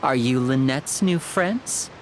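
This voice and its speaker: A woman's voice: very deep voice, animated, slow pace, very noisy, exaggerated voice. A woman voicing a charming, courteous young man in a video game, talkative and polite in manner. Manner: polite, smooth-talking.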